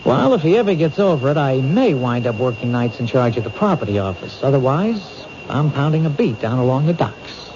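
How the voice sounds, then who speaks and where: An actor as a determined police detective, noisy recording. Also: male voice, mid-range voice, sing-song, natural voice. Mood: frustrated, wry. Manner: brisk, clipped, insistent questioning. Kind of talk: old-time radio drama acting. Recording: noisy, muffled old radio broadcast.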